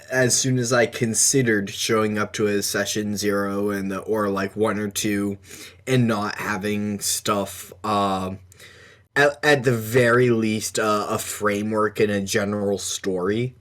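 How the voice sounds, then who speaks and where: A man co-hosting a casual discussion podcast, explaining his thoughts in a halting, thoughtful way. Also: fairly low pitch, steady tone, a bit bright. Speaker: podcast co-host.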